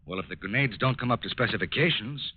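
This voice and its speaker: An actor playing a patient, reassuring, courteous FBI investigator in a tinny 1940s radio drama. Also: male voice, lowish voice, fast, breathy edge, no echo. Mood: calm, reassuring. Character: calm, reassuring male federal investigator.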